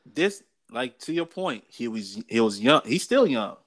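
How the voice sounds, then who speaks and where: A man's voice: mid-range voice, sing-song, measured pace, a bit bright. An American man co-hosting a casual sports talk show, giving opinions in an emphatic, colloquial way and repeating phrases for effect.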